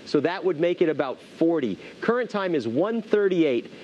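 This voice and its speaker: Higher-pitched male meteorologist, dull audio. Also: big pitch swings, moderate pace, darkish tone. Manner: conversational, explanatory. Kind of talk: live unscripted severe weather commentary.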